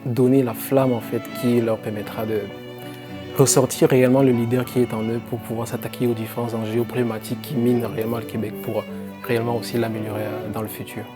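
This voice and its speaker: A man's voice: medium pitch, little pitch movement, fast. A man giving an emphatic testimonial about empowering young leaders. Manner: emphatic, repeats "réellement". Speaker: program participant. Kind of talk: interview testimonial. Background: clear background music.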